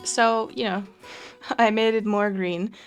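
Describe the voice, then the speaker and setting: Young woman for relaxed game commentary, slow and chatty, light guitar underneath. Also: mid-range voice. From Finland. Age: young adult. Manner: relaxed, chatty, frequent fillers and soft laughs. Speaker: Minecraft let's play creator. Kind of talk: casual gameplay commentary.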